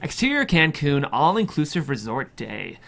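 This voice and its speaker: Expressive screenplay narrator with lively intonation. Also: mid-range voice, normal speed, creaky. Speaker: stage-direction narrator. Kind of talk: scripted narration of a screenplay at a table read.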